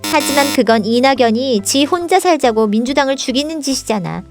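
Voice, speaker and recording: A woman's voice: sits high, expressive, a little fast, soft background music. A woman playing an indignant young storybook princess in a political satire, scolding and explaining with pointed rhetorical questions. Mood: emphatic explaining. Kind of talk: scripted political satire dialogue, storybook parody.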